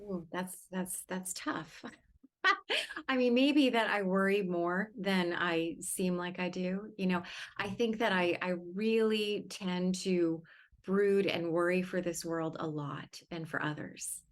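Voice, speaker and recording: A woman in her fifties from Connecticut, a wealth consultant talking about herself as a podcast guest, chatty and quick to laugh. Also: lowish voice. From Connecticut, New England. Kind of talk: casual interview chat.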